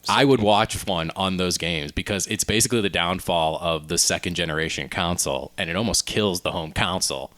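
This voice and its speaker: A man co-hosting a podcast, chatting informally and telling his ideas in a halting way. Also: deep voice, a bit bright. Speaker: podcast co-host. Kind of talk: casual chat, pitching ideas among friends.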